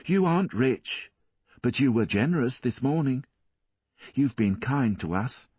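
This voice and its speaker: A man doing a soft, sad young woman. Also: medium pitch, animated, a little slow, husky, no echo, voice pushed up. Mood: wistful. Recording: dull dry lo-fi.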